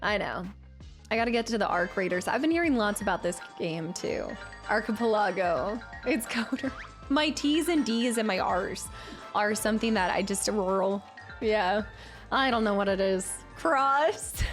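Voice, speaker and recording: A woman's voice: medium pitch, animated, normal speed. A young woman streamer chatting casually with her viewers, laughing and teasing herself as she reads the chat aloud. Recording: faint music behind the voice.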